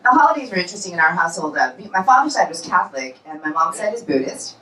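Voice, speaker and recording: A woman in her forties tells punchy, self-deprecating stories on a comedy club stage. Her voice is bright and full of swings in pitch, picked up from a distance, with the room ringing around it. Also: very animated, fast talker, slight background noise. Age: 40s. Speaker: stand-up comedian. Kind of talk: stand-up comedy set. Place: comedy club in New York. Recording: roomy club sound, from far off.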